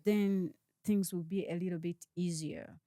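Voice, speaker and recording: Woman calmly explaining step by step. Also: fairly low pitch, no room sound, clean studio sound. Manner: explanatory, measured, with hesitant restarts. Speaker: guest advising on moving to Canada. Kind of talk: conversational interview answers.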